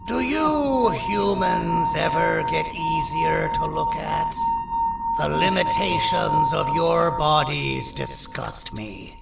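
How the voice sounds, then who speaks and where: A man's voice: very high-pitched, wildly swooping pitch, slow pace, creaky, full character voice. A man playing a haughty, cruel, short-tempered monster surgeon in a video game, theatrical and full of contempt for humans. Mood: disgusted. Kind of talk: video game villain acting. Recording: echoey, distant, under loud music. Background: loud music.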